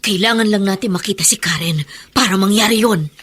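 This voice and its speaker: A woman's voice: mid-range voice, a little fast, fairly bright tone, breathy edge. A woman playing a fiercely protective, long-suffering mother in an emotional, emphatic Tagalog radio drama style. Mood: bitter, firm.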